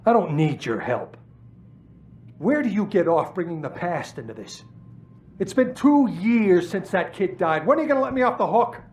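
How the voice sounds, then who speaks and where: Animated, bitter desk sergeant. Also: male voice, high voice, moderate pace. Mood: bitter, agitated. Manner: aggrieved, defensive, emotional. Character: bitter, self-pitying, demoted male police desk sergeant. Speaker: video game character. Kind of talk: cinematic game dialogue.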